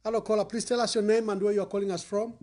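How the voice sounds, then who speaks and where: Guy hosting a political phone-in, polite and formal, soft mellow tone, slightly high voice. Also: flat delivery, very fast. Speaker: radio call-in show host.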